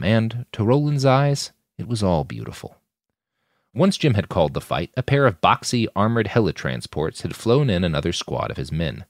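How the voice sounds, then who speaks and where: A man's voice: fairly low pitch, no echo, clean studio sound. A man reading scripted fiction aloud as a narrator in an audiobook style.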